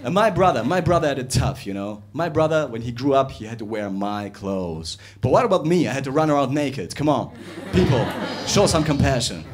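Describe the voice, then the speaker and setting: Lively stand-up comic. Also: male voice, medium pitch. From Russia. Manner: animated, conversational, jumps between fast and drawn-out delivery. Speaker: stand-up comedian. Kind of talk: stand-up comedy set. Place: comedy club with live audience.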